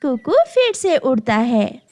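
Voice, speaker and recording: A woman storyteller narrates a children's cartoon in a high voice. Her pitch moves widely and she takes her time over the lines. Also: sing-song, takes it slowly, dark tone.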